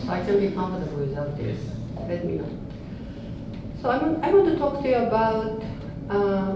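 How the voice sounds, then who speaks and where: Older woman, Japanese accent, earnest and unhurried. Distant, heavy reverb, noisy. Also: mid-range voice. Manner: earnest, unhurried, frequent laughs, non-native phrasing. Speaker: dance artist giving a talk. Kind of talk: informal public talk. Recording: echoey hall, speaker off-mic, audible noise.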